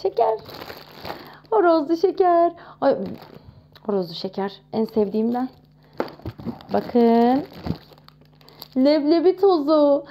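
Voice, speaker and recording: A woman in her thirties talking casually to camera in a chatty, expressive way, showing off nostalgic things. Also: sits high, highs cut off, a little hiss or hum. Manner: chatty and expressive, with frequent exclamations. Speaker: vlogger.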